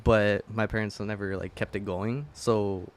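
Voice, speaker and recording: A young man from the United States talks casually as a co-host among friends. His voice sits on the low side and his delivery is conversational. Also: steady tone, a little slow. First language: English. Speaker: podcast co-host.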